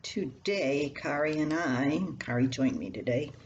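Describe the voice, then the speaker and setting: A woman's voice: low-pitched, animated, a little slow, lo-fi sound. An older woman reads her own personal story aloud in a homespun, chatty way. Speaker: columnist reading own story. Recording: roomy echo, faint background noise.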